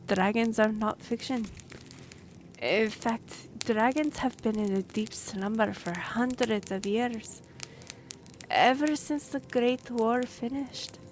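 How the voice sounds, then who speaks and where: A woman's voice: a little high, takes it slowly, a little hiss or hum, low-quality recording. A woman playing an earnest young half-elf noblewoman and royal envoy in a fantasy roleplay audio drama, speaking formally with some awkward hesitation. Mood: grave. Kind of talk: fantasy roleplay audio acting, addressing the listener.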